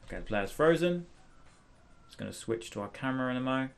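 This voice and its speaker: A man hosting a casual software tutorial, thinking aloud hesitantly as he demonstrates. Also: medium pitch, lively intonation, a little fast. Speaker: tutorial host.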